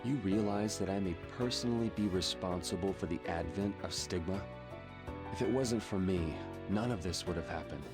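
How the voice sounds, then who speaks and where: Guy voicing gruff tired doctor in a game cartoon, really low voice, dry and cynical, music behind. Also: normal speed, natural voice. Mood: somber, confessing. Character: gruff, weary, guilt-ridden cynical male surgeon. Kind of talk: animated video game drama acting.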